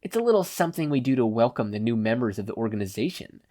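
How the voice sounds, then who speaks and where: A man's voice: mid-range voice, sing-song, fast talker, warm tone, lightly acted. A man voicing a cocky, sleazy male gangster with a sneering, taunting delivery. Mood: mocking.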